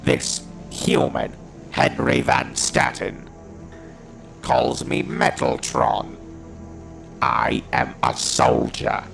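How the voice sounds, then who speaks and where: Very deep, gravelly robotic alien soldier. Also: male voice, wildly swooping pitch, extremely slow, pauses often, a bit bright, faint music behind, exaggerated voice. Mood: cold, defiant. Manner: staccato, menacing, declamatory. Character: alien Dalek war machine, ruthless, defiant, tortured soldier. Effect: robotic voice modulation. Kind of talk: audio drama monologue.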